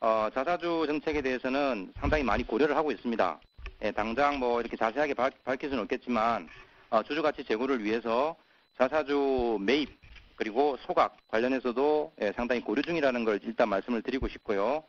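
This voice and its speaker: A man presenting as a company executive on an earnings call, pausing often with filler sounds. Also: mid-range voice. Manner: halting, many filler sounds. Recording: muffled conference call audio.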